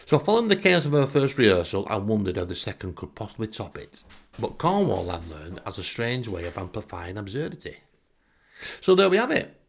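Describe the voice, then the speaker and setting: Male podcast storyteller, Northern English, lowish creaky voice, sarcastic and wry, comic anecdotes. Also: sing-song, a little fast. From northern England. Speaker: podcast host. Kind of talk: comic first-person storytelling monologue.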